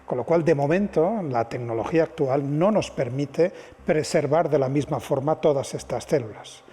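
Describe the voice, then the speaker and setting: A man explains his field as a scientist guest on a panel, repeating key points for emphasis. His voice is husky and pitched a little high. Long hall reverb follows each phrase. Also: moderate pace, mellow. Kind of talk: panel discussion.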